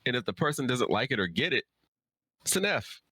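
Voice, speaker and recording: A man's voice: medium pitch, very animated, a little fast, pushed and bright, breathy edge, no room sound, low-quality recording. A man chatting casually as a guest on a livestream, speaking in an emphatic, effusive way. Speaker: guest writer.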